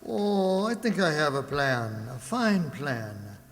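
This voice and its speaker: Hearty, courteous sea captain, played by a man, fairly high male voice, confident radio drama in a reverberant hall. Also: sing-song, slow, exaggerated voice. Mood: confident, scheming. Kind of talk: children's radio drama acting.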